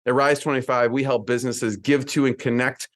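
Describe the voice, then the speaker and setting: Man, podcast host, mid-pitched voice, casual, lots of ums. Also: level tone, brisk. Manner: chatty, filler-heavy with many ums. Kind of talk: conversational interview intro.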